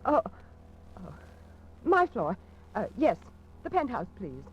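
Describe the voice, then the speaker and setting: A woman's voice: medium pitch, very animated, takes it slowly, slight background noise. An actress playing a frightened young woman who speaks anxiously and stammers in a 1940s radio drama, heard through a dull lo-fi broadcast recording. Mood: fearful.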